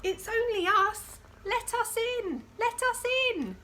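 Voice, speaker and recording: A woman puts on excited party visitors from a storybook, pitched well up and bright. They call out gleefully in exclamations, over some background noise. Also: takes it slowly, full character voice.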